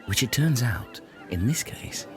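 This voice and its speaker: A man playing an earnest, pedantic castaway explaining things in a measured way in a comedy audio sketch. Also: medium pitch, big pitch swings. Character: earnest, long-winded know-it-all castaway man. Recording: music behind, blown-out homemade audio.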